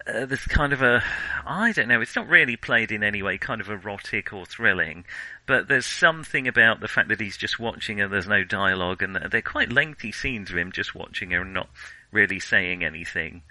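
Bright, forceful man talking film. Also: lowish voice, no echo, rough recording. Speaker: podcast co-host. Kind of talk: casual film discussion.

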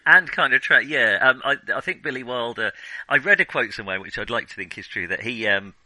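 Male podcast co-host, bright and forward, hesitant and chatty, dry close mic. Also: mid-range voice, a little fast, no room sound, rough recording. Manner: chatty, hesitant with frequent ums, laughs easily. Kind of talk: casual film discussion.

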